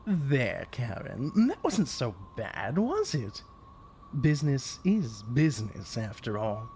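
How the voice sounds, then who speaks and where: A man's voice: medium pitch, huge pitch swings, slow, darkish tone, full character voice. A man playing a suave, condescending weather-mage villain in an audio drama, taunting with mock politeness. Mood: smug. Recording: clear background noise.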